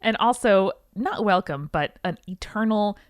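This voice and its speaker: A woman's voice: mid-range voice, animated, a little slow, no echo, studio quality. A woman from Long Island co-hosting a podcast in casual, playful, bantering chat. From Long Island, New York, USA. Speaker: podcast co-host.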